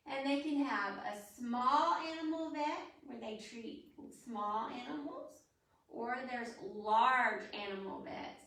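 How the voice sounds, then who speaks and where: A woman's voice: a little high, fairly bright tone, slight background noise. A woman hosting a storytime for young children, explaining things in simple, demonstrative phrases as she talks and reads aloud. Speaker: library storytime host. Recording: echoey room, distant microphone.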